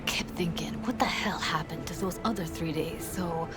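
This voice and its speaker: A woman's voice: lowish voice, moderate pace, over loud music, close to an everyday voice. A woman acting a blunt, streetwise, hotheaded and grieving young woman in a naturalistic video game performance. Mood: sorrowful, brooding.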